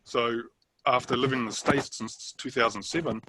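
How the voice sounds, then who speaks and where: A man's voice: mid-range voice, fairly even intonation, normal speed. A man from New Zealand with a Kiwi accent, speaking hesitantly with many fillers in a panel discussion over a video call. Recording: noisy video call audio.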